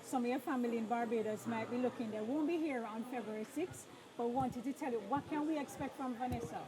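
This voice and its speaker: A woman's voice: fairly high pitch, fast, low-quality recording. A woman hosting a live outdoor event broadcast, upbeat and prompting her co-host with questions. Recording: crowd noise behind. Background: crowd chatter.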